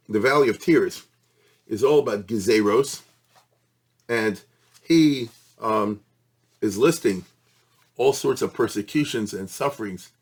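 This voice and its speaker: A male rabbi lecturing informally on religious history, with conversational asides, checking in with listeners and using Hebrew and Yiddish terms. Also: medium pitch.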